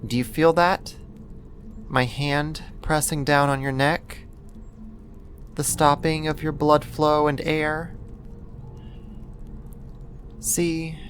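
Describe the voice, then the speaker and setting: Slow-talking possessive yandere neighbor, acted out. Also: medium pitch, natural voice. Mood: threatening, controlling. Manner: coaxing, slow, deliberate, condescending endearments. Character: possessive yandere neighbor kidnapper, sweet yet menacing. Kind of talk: ASMR roleplay, intimate scripted monologue.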